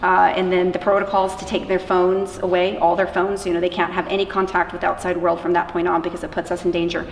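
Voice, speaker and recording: A woman's voice: fairly low pitch, completely flat delivery, quick pace, few pauses. A woman being interviewed, telling real accounts in an informal, conversational way and voicing bits of dialogue as she goes. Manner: informal, acts out quoted dialogue. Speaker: interviewee, anti-trafficking worker. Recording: echoey room from far off, faint noise.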